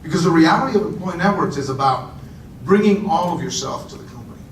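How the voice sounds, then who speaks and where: A man's voice: animated, quick pace. Guy giving a talk at a conference, chatty, keeps repeating words, sounds far from the mic. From Puerto Rico. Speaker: speaker. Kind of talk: conference talk to a live audience. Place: conference room. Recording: roomy echo, voice from far off, faint rumble.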